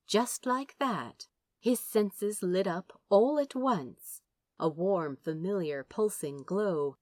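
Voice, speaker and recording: A woman reading a story aloud as a narrator, with dramatic, deliberate phrasing and drawn-out words. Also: medium pitch, no echo, studio quality. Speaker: audiobook narrator. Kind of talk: expressive scripted story narration.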